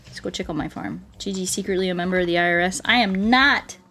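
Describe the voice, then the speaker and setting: A woman's voice: on the low side, fast talker, sharp tone, soft background music. Playful woman chatting on a gaming livestream. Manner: playful, rambling, answers viewers aloud. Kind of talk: casual gaming livestream chat.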